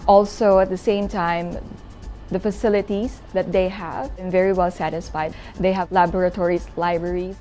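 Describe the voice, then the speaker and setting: A woman's voice: lowish voice, level tone, normal speed, mellow. A young woman with a Filipino accent, a master's student giving a promotional testimonial. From Philippines. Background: background music.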